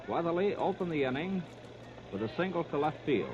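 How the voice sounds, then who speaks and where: A man's voice: a little high, big pitch swings, a little fast, a crowd in the background. An American man calling baseball play-by-play in 1930s radio style, in clipped running description. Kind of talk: 1930s radio sports commentary. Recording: muffled, very noisy 1939 radio disc.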